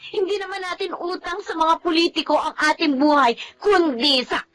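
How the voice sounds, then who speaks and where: A woman's voice: very high voice, normal speed, a bit bright, faint music behind. A woman acting a principled, disappointed young woman, earnest and emotional, in a Filipino radio drama. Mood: upset, pleading.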